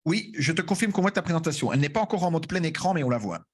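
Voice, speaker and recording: A man hosting an online masterclass over a video call, speaking haltingly with many fillers and restarts. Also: a little high, lively intonation, slightly rough. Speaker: webinar host.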